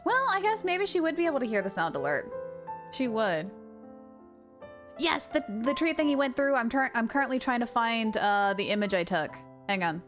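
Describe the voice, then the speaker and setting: A woman livestreamer chatting casually over a game, with an excitable, gushing manner. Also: on the high side, normal speed, music in the background. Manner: excitable, gushing, baby-talks to her dog. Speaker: live game streamer. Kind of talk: casual livestream chat while gaming. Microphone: streamer's mic, wearing headphones.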